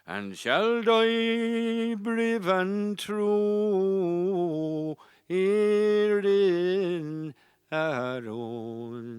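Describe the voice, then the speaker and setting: A woman giving a solemn, drawn-out, mournful rendition of an old lyrical lament. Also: mid-range voice, darkish tone, clear, resonant tone, no room sound, clean studio sound.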